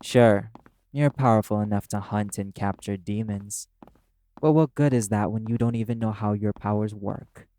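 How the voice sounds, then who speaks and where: A man's voice: on the low side, fairly even intonation, normal speed, dark tone, close to an everyday voice. A man playing a brash, proud demon in an audio roleplay, terse, scornful and commanding in manner. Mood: impatient, scornful. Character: brash, proud, curt demon, prickly and guarded.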